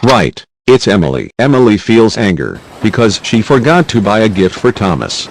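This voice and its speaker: A man's voice: fairly low pitch, very animated, moderate pace, slight background noise, rough recording. A man reading scripted narration for a children's quiz video, with simple prompts in non-native English phrasing.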